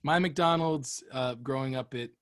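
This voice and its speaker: A man's voice: medium pitch, measured pace. A man in his thirties hosting a podcast, telling childhood stories in a chatty way and laughing often. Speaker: podcast host. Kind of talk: casual chat.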